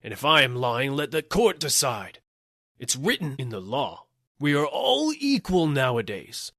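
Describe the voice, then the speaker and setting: A man's voice: mid-range voice, big pitch swings, measured pace, bright, forceful tone, no echo, studio quality, voice pushed up, exaggerated voice. A man voicing an aggrieved workman in an audiobook, whining and pleading in an indignant, wheedling way. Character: aggrieved, whining male workman seeking damages. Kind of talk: audiobook character acting.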